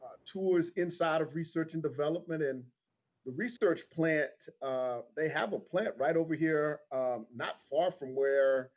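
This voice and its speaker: Middle aged american guy bit high voiced, chatting in an interview, kinda muffled lofi sound. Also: unhurried. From Houston, Texas, United States. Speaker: interview guest, insurance agent. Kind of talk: conversational interview answers. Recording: dull lo-fi livestream audio.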